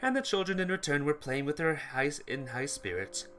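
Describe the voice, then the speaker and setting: An expressive man narrates a visual novel for a playthrough. His slightly high voice is bright and very lively in pitch, with a theatrical read-aloud delivery. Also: brisk. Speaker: playthrough host. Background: music.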